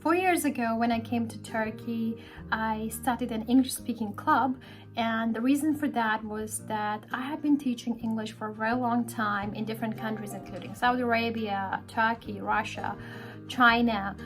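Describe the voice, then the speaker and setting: A woman's voice: a little high, normal speed. A woman from Pakistan, an English teacher, narrating a vlog in a friendly storytelling way. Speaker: English teacher and speaking club organizer. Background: soft background music.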